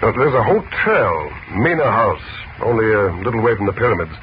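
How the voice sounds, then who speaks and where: An actor playing a calm, assured male magician who reassures his family, in a 1940s radio drama. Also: fairly low pitch, fairly quick. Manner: calm, reassuring, gently amused. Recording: muffled old radio broadcast with loud hiss.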